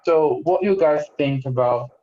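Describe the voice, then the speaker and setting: Slow, hesitant man with a Brazilian accent. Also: on the high side, dark tone. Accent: Brazilian Portuguese. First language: Portuguese. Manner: hesitant and apologetic, many "um"s and restarts. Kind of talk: casual discussion. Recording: roomy, dull-sounding call audio.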